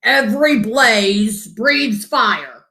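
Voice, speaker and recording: A woman voicing a proud, demanding dragon father for a storybook, with emphatic, drawn-out declarations. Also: on the high side, animated, gravelly, pushed and bright, full character voice. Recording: roomy home echo.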